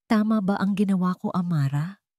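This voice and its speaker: A woman's voice: mid-range voice, normal speed, dark tone, no room sound, studio quality, natural voice. A woman with a Filipino accent voicing a troubled, grieving wealthy father in a dramatic story. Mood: anguished, doubting.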